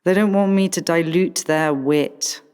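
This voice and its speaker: A woman playing a wry, brooding figure in a poetic radio play, speaking deliberately. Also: lowish voice, a little mellow, smooth, natural voice. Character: wry figure brooding over the witty guys. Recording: long reverberant hall, mallet music behind.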